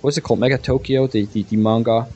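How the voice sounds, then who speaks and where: A man's voice: medium pitch, fairly even intonation, quick pace, mellow, husky. A man whose first language is Swiss German, giving explanatory advice in a casual panel discussion as a comic creator.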